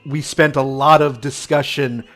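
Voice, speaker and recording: A man hosting a casual gaming podcast panel who laughs often and speaks haltingly as he hands turns to others. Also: mid-range voice, fairly even intonation, a bit bright, faint music behind.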